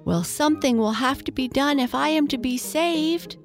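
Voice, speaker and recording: A woman's voice: sits high, normal speed, faint music behind, voice pushed up. A woman voicing an anxious, plaintive male stuffed toy elephant in a children's storybook, fretful and lamenting.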